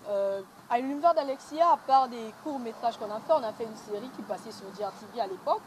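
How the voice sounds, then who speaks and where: Young Congolese woman, fairly high voice, interviewed actress speaking conversationally over clear background noise. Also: fast talker, darkish tone. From Republic of the Congo. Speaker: interviewed film actress and director. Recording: clearly noisy location sound.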